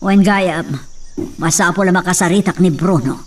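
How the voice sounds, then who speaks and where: A woman's voice: lowish voice, steady tone, normal speed, close to an everyday voice. Woman acting imperious Ilocano hacienda matriarch. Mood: stern, grave. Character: imperious middle-aged hacienda matriarch, stern rich mother. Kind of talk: radio drama acting. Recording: distant microphone sound.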